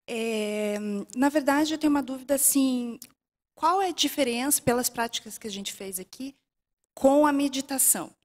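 A woman's voice: sits high, normal speed. A Brazilian woman in the audience hesitantly asking a question into a handheld microphone in a large auditorium. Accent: Brazilian Portuguese. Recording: reverberant auditorium.

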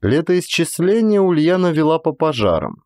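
Male narrator, literary audiobook. Measured storytelling, expressive intonation, dry studio-close sound. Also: a little high, no room sound. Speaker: actor reading an audiobook.

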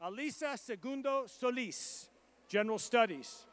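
A man with a strikingly high voice calls out graduates one by one at a college commencement. His reading is measured and formal, a steady roll call of names and programs, each pronounced with care. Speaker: commencement name reader.

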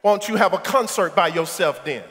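Middle-aged male preacher, high gravelly voice, loud sermon with asides to the pews, echoey sanctuary. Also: fairly quick, background noise. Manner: preaching, shouting at peaks, quips to the congregation. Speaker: pastor. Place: large church sanctuary. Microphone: headset mic. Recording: echoey sanctuary with congregation and organ. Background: congregation and soft music.

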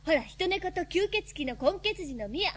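A woman's voice: very high voice, lively intonation, moderate pace. An actress playing a self-assured, haughty half-vampire, half-cat young woman in a radio drama, scolding and bossy.

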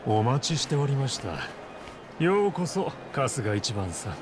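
A courteous crime boss from a video game, composed and quietly menacing. His formal, condescending speech moves through lively pitch swings, with faint ambience behind it. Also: background noise. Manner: formal, polite, measured, condescending. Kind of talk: Japanese video game dub acting.

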